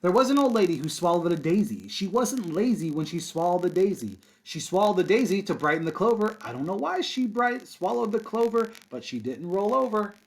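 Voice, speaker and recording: A man's voice: high-pitched, very animated, a little fast, vocal fry, hardly any pauses, low-quality recording. A man reading a children's picture book aloud like a kindergarten teacher, with casual asides and encouraging, simple, sing-song delivery for young children.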